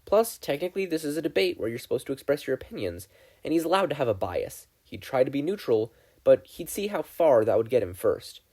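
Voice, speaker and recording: A man reading a story aloud as a narrator, in an expressive scripted style. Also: mid-range voice, a little fast. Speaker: fan fiction podfic reader.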